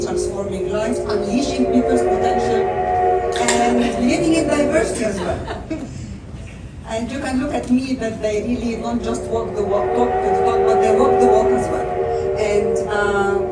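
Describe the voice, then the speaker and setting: A middle-aged woman with an Egyptian accent talks eagerly about her teaching, laughing often and pausing on fillers. Her voice reaches the recording from well across a large, echoing hall, over a steady hum of crowd noise. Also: a little high. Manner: warm, laughing, enthusiastic, with fillers. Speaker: teaching professor. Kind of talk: informal talk at a networking event. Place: large indoor event space. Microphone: handheld microphone, heard from far off. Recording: echoey room from far off, background noise.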